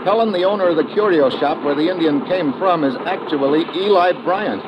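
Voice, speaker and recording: Actor as hard-boiled radio investigator, noisy recording. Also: male voice, fairly high pitch, a little fast, dull audio, natural voice. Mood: strained, explaining. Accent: American. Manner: clipped, hard-boiled delivery. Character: tough, wry male investigator on a dangerous chase. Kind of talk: 1950s American radio adventure acting and first-person narration.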